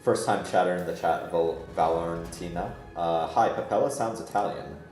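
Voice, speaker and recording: A man with a very deep voice hosts an esports match. He commentates casually and chattily, in an unhurried, laid-back way. The sound is roomy and echoing, with music playing behind him. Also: darkish tone. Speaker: esports tournament host and commentator. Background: background music.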